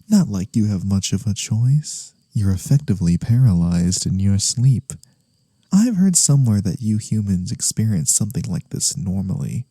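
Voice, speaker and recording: A playful, theatrical incubus, voiced by a man in a soft-spoken fantasy ASMR roleplay. The voice is dark, husky and close with very little room around it. He teases slowly and breathily, sinking at times into a whisper. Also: fairly low pitch, no room sound, heavily acted. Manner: breathy, teasing, drifting into whispers. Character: male incubus demon, teasing, theatrical yet wholesome.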